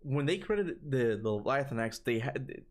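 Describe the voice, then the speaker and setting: Cuban accent guy rambling on stream, lively, cusses a bit, tired voice. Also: medium pitch, normal speed. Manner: rambling, animated, swears casually. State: tired voice from long talking. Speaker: gaming streamer answering chat. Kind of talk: casual livestream chat.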